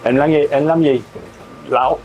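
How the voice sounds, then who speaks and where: A man's voice: a little high, fairly even intonation, normal speed, highs cut off, a crowd in the background. A middle-aged man giving a chatty, admiring walkthrough of his catamaran being built, exclaiming over the details. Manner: enthusiastic, admiring, chatty with frequent oohs. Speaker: boat owner touring his catamaran build. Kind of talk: casual walkthrough vlog. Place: inside a catamaran under construction at a boatyard.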